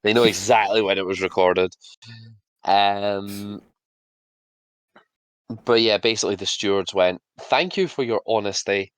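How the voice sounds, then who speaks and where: A man with a fairly low voice, talking in a relaxed podcast chat. He laughs easily and drops into brief mock voices for officials. The sound is dry and close, with no room behind it. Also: clean tone, no room sound, low-quality recording. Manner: laughs often, acts out officials in quick asides. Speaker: podcast co-host. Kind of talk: casual chat.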